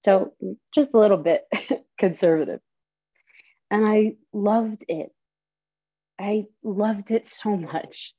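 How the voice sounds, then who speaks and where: A young woman from Saudi Arabia and Palestine, a storyteller and performer, speaking in an earnest, deliberate way, moving from a theatrical monologue into a personal talk. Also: medium pitch, husky, no room sound. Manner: earnest, slow, deliberate delivery. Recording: dull, lo-fi audio.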